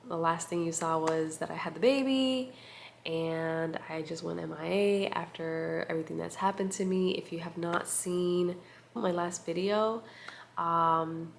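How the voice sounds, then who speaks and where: A woman in her thirties with a stuffy, nasal voice, talking at a slow, unhurried pace with long pauses and filler words. Her voice sits a little low for a woman. It comes through a compact camera mic with a faint background hum. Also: gravelly. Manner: soft, slow and reflective, with many pauses and fillers. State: congested, nasal from allergies. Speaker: vlogger. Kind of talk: casual catch-up vlog.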